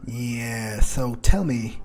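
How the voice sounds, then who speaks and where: A man's voice: mid-range voice, drawn-out words, a little mellow, faint music behind. Blunt, dry-voiced male gamer in character. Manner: dry, blunt and laconic, with cursing. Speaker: gamer roleplaying his character. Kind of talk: in-character game roleplay commentary.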